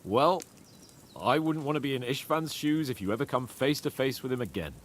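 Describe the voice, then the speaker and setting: A man's voice: medium pitch, a little fast, a little hiss or hum, lightly acted. An actor playing a young medieval nobleman in a game, commanding and exasperated, chiding his companions in a teasing way. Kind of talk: video game cutscene acting.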